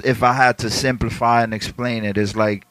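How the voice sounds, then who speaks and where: A man from the Dominican Republic talks things through as a guest rapper on a podcast, pausing and restarting as he hunts for the right words. His voice sits at an ordinary pitch for a man. The sound is dry and close, with no room echo. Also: fairly even intonation, a bit bright. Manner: reflective, searching for words with restarts. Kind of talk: casual podcast interview.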